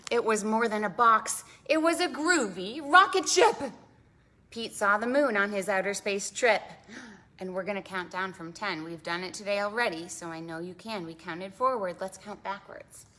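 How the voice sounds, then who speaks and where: A woman hosting a preschool storytime, reading aloud in an animated, sing-song way with dramatic emphasis and questions for the children. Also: mid-range voice, normal speed. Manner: prompts listeners with questions. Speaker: children's librarian and storytime host.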